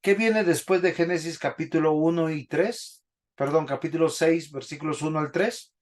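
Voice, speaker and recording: A man's voice: a little high, normal speed. A man teaching a Bible class in a church, preaching and putting questions to the congregation. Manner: didactic, asks the congregation questions.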